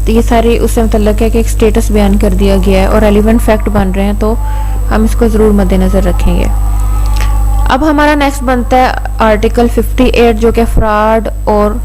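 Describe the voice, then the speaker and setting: A woman's voice: a little high, dark tone, low-quality recording. A woman giving an exam-prep law lecture, reading out passages and explaining them step by step in a conversational way.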